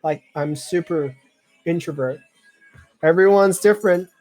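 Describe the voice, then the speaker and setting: Twenty-something man hosting a casual live chat; rambles with lots of fillers, a slightly higher voice. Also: measured pace, a little mellow, faint music behind, rough recording. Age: 20s. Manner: clears throat often. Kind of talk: casual live chat reading viewer comments.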